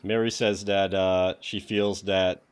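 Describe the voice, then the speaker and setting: A man with a New England accent hosts a relaxed livestreamed tech walkthrough. His voice sits low, and he reads out quiz questions in an easygoing way, greeting viewers as they drop in. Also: slow pace, smooth. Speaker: host of a cybersecurity lab walkthrough. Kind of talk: casual livestream chat and tutorial.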